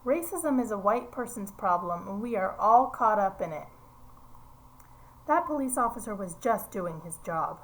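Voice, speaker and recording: A woman's voice: mid-range voice, expressive, normal speed, fairly bright tone, low-quality recording. A woman reading a picture book aloud to young children, explaining as she goes and putting simple questions to her listeners.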